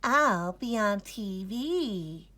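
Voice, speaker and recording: A woman's voice: medium pitch, lively intonation, extremely slow, sharp tone, voice pushed up, full character voice. A woman voicing a feisty, competitive young girl groundhog in a children's storybook, insistent and squabbling. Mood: sassy. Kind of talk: children's storybook read-aloud.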